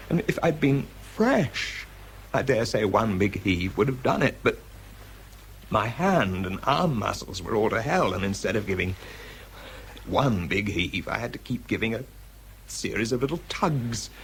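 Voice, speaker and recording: A man acting in a radio drama as an exhausted, shaky, methodical bomb disposal expert, speaking haltingly under strain. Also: fairly low pitch, a bit bright, a little hiss or hum, full character voice. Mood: exhausted, despairing. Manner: halting, hesitant, muttering as he works. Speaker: actor and narrator in a radio drama. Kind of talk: radio drama acting, first-person monologue.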